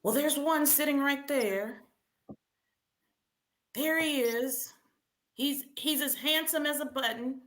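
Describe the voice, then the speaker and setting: A woman hosting a live read-aloud quiz for children in an animated, encouraging way, calling out to participants and repeating questions. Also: high-pitched, takes it slowly, long pauses.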